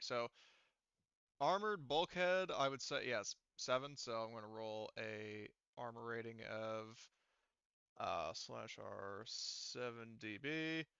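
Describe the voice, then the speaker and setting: A man's voice: medium pitch, animated, measured pace, gravelly, bright, forceful tone, clean tone, no room sound, muffled recording. A man refereeing a tabletop role-playing game in casual talk, swearing freely. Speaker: game master.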